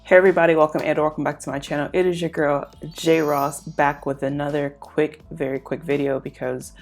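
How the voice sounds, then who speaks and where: A woman's voice: sits low, a little fast, soft background music. A young woman with a stuffed-up, congested voice, chatting casually through a makeup tutorial at home. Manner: chatty, relaxed, lots of fillers. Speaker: beauty vlogger.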